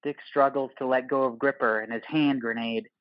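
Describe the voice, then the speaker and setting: A man's voice: medium pitch, fairly even intonation, moderate pace, no room sound, dull audio. Terse male narrator, dry close sound. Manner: terse, clipped screenplay phrasing. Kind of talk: scripted audio play narration, reading stage directions.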